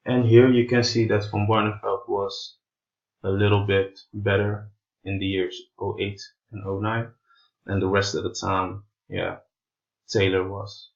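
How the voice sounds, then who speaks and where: Slow, halting man with non-native English accent. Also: fairly low pitch, dull audio. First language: Portuguese. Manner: halting, hesitant, word-searching delivery. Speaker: presenter of an explainer video. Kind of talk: informal explanatory voice-over.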